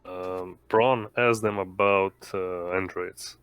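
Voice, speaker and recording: A man's voice: low-pitched, fairly even intonation, takes it slowly, fairly bright tone, clean tone, dry recording. A man talking casually as a player in a tabletop game.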